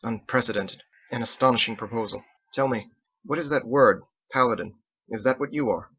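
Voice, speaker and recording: A man's voice: medium pitch, fairly quick, fairly bright tone, husky, highs cut off. A man voicing a composed, articulate sailor woman with formal, proud wording, in a story reading. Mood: impressed, curious.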